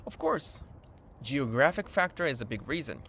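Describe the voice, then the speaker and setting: Lively Japanese-accented man, eager host. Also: medium pitch, moderate pace, creaky, a little hiss or hum. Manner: eager, earnest, upbeat. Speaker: channel host. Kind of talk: enthusiastic vlog-style lesson intro.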